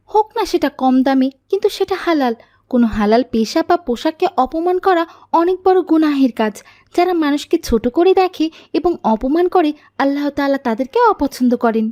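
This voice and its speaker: A woman's voice: high-pitched, darkish tone, dry recording, studio quality. A woman voicing a pious, earnest little girl in a moral cartoon, speaking politely and instructively. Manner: polite, didactic. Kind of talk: scripted moral cartoon dialogue.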